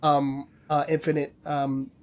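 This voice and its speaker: A man's voice: fairly high pitch, level tone, unhurried, darkish tone. Chatty man with a cold-stuffed voice. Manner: loose, chatty, many fillers. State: nasal from a cold. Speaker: podcast co-host. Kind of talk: casual gaming news podcast.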